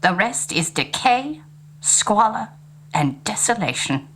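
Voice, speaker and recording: A woman's voice: medium pitch, sing-song, slow pace, a bit bright, slightly rough, heavily acted. A woman playing a prim, disapproving elderly historical society lady in a 1930s style radio play, formal and emphatic. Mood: grave, disapproving. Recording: faint hum and room noise.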